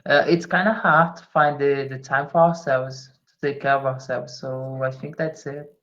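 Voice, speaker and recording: Over dull, lo-fi call audio, a man practises his English as a non-native speaker. He chats casually at a middling pitch, hesitating and repeating words, with small laughs between phrases. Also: steady tone, normal speed, a bit bright. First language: not English. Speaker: English learner. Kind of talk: casual chat in a language lesson. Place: online video call.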